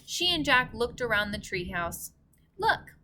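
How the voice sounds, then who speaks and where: A woman's voice: mid-range voice, animated, slow, noisy background. A woman reading a storybook aloud like a teacher, enunciating carefully for young children. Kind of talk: storybook read-aloud narration.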